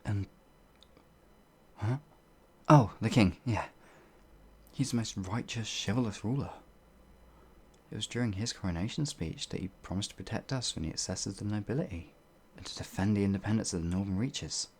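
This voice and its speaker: An actor playing a bewildered, humble werewolf outlaw in an ASMR fantasy roleplay, with halting, old-fashioned speech and a husky voice. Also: low-pitched. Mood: earnest reminiscing. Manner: halting, flustered, pleading, old-fashioned wording.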